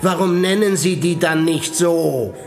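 An actor playing a bored, sardonic aristocratic prince in a radio play. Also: male voice, fairly high pitch, a little slow, vocal fry, soft background music. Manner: dry, sardonic, aloof.

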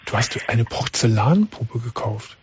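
Calm probing male radio host. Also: medium pitch, a little slow, slight background noise. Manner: calm, probing, interrupts to clarify. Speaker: radio call-in show host. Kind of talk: late-night call-in counseling talk. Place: radio studio. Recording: dull broadcast radio sound.

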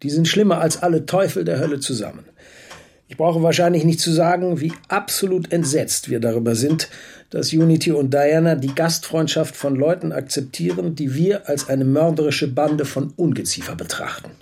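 An actor playing an indignant British lord, a father appalled by his daughters, speaking bluntly and gravely in a raspy voice. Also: on the high side, moderate pace, a little mellow. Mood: bitter indignation.

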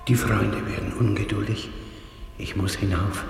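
An older man playing a timid, humble old porter in a vintage German radio play, halting and deferential. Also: lowish voice, fairly even intonation, vocal fry, very noisy. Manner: halting, deferential, breaking into whispers. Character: humble, timid old messenger, poor widower. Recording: echoey vintage radio play, long reverb. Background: music.

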